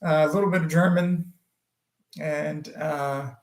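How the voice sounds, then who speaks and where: Slightly high-pitched man with French roots, echoey room. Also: fairly even intonation. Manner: loose and halting, with many ums and restarts. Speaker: plastic surgeon hosting a livestream Q&A. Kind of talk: casual livestream Q&A. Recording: roomy echo.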